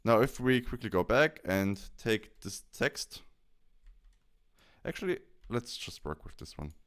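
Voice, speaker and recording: Male tutorial presenter, casual and instructional, smooth clear tone with animated inflection. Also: lowish voice, unhurried. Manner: explains step by step. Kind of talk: casual software tutorial narration.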